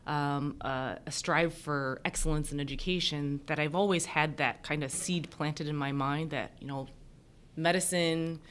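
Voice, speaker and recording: A woman's voice: deep voice, steady tone, unhurried, a bit bright, clean tone. A woman from the United States, a native Mandarin speaker, explaining thoughtfully as a physician in a reflective interview. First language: Mandarin Chinese. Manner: thoughtful, explanatory, hedging with "kind of" and "you know". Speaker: emergency physician being interviewed.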